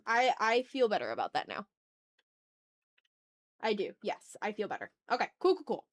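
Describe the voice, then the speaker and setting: A woman's voice: mid-range voice, very animated, normal speed. A woman hosting a casual drawing livestream, playfully chatting with viewers and thinking aloud as she works. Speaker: art livestream host.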